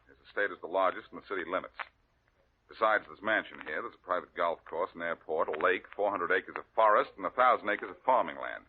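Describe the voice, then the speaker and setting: An actor playing a cocky, wisecracking amateur sleuth who teases and needles, in 1940s radio style. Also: male voice, sits low, quick pace, a little hiss or hum, close to an everyday voice. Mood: brisk, showy. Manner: flippant, needling. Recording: muffled old radio recording.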